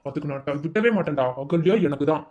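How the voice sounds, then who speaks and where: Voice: mid-range voice, fast talker, darkish tone, dull audio, lightly acted. A performer voicing a jealous, vengeful male college bully who keeps barking questions to browbeat a rival in a comedy sketch. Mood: menacing. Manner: bossy, drilling questions with "enna?". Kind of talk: comic sketch acting.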